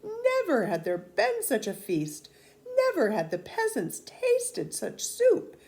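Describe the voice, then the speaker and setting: A woman's voice: high voice, huge pitch swings, slow pace, a little mellow. A woman reading a children's storybook aloud as storyteller, expressively and with dramatic emphasis.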